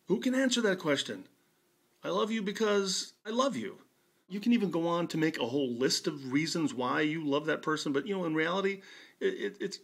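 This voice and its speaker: An American man in his fifties talks as a video blogger, conversational and personal. His voice sits slightly high and swings widely in pitch. He is wry and emphatic, leaning on rhetorical questions. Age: 50s.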